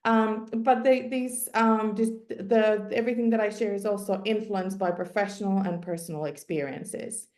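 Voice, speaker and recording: Finnish-native woman presenting a sports science webinar, mid pitch, lots of ums, echoey room. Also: steady tone, normal speed, hardly any pauses, darkish tone. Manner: explanatory, with frequent ums and restarts. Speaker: sports psychology consultant. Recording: roomy echo.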